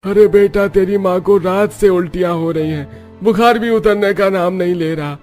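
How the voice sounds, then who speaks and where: A man's voice: high-pitched, normal speed, a little mellow, faint music behind, close to an everyday voice. A man voicing a concerned middle-aged father in a cartoon moral story. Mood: worried.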